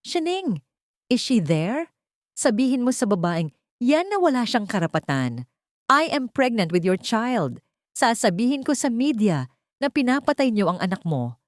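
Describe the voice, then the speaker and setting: Audio story role: a woman plays a scheming mistress, raised pitch, panicked and indignant. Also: big pitch swings, measured pace, no echo, clean studio sound. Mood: furious threatening. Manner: fearful, shouting, dramatic. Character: frantic, angry young mistress, shrill and scheming.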